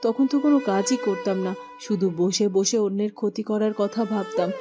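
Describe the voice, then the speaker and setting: A woman's voice: medium pitch, brisk, music in the background, lightly acted. A woman playing a humbled former queen in a fairytale cartoon, reflective and confessional. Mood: remorseful. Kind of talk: cartoon voice acting.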